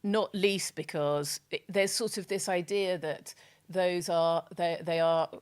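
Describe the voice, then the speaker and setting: Low-voiced, loud, emphatic woman. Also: steady tone, takes it slowly, clean tone. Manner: emphatic and frank, with many restarts. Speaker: guest brand strategist. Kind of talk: candid podcast interview talk.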